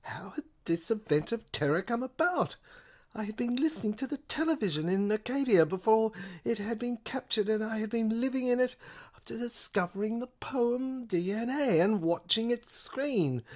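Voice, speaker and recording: A woman narrating a science fiction audio play in a halting, theatrical way, drawing out words and sometimes dropping toward a whisper. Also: medium pitch.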